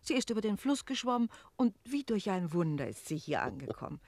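A woman's voice: medium pitch, sing-song, a little fast, darkish tone, no echo. An actress playing a talkative, tender-hearted female innkeeper in a German radio play, gossipy and emphatic. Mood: indignant, pitying.

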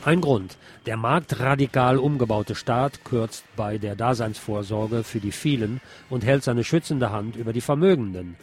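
A man's voice: medium pitch, moderate pace, few pauses, noisy background. A man hosting a radio programme, reading a scripted political commentary. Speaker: radio host.

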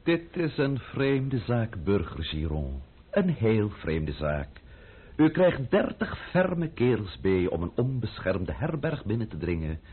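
A man's voice: lowish voice, very animated, breathy edge, heavily acted. An actor playing a sarcastic, menacing French revolutionary official in a radio drama, sneering and drawing out his mock-polite words. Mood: sarcastic reproach. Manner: sneering, mock-polite, drawn-out, bursting into shouts. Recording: muffled old radio play recording.

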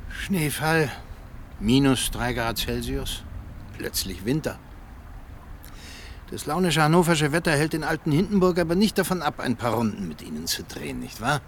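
A haughty old military man played by a male actor in a radio drama, curt and full of contempt. His intonation is animated over a faint background noise. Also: mid-range voice, normal speed, close to an everyday voice. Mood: dry. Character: haughty, hostile old male field marshal. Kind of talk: German radio drama dialogue.

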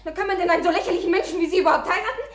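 A woman's voice: rapid-fire, roomy sound. An actress playing a proud, temperamental young noblewoman who talks in a mocking, imperious way, in 1950s radio play style. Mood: hysterical. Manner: high-strung, mocking, imperious.

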